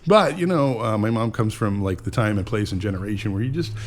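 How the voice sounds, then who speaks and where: A man's voice: lowish voice, expressive, fairly quick, a little hiss or hum. A man around fifty from California, telling rambling stories in a casual, profane, joking way as a podcast guest. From California, USA. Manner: rambling, profane, jokey storyteller.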